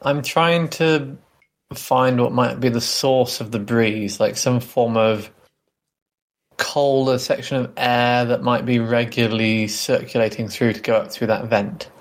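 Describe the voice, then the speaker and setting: A man's voice: medium pitch, steady tone, unhurried. A man playing a tabletop role-playing game, chatting casually and informally as he thinks aloud.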